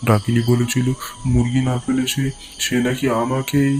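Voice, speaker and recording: A man voicing a village husband in a cartoon, an excitable fellow who exclaims and repeats himself. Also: medium pitch, moderate pace, background noise, close to an everyday voice. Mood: frightened, pleading. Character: anxious, pleading village milkman and husband. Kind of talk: cartoon voice acting. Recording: music clearly behind the voice.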